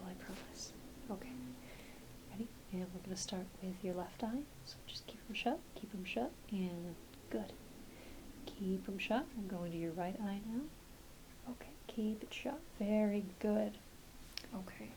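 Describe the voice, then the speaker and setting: A woman performing a soft-spoken, often whispered ASMR roleplay as a doctor, talking gently and reassuringly to a patient with some hesitancy. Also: medium pitch, normal speed. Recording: clear background hiss.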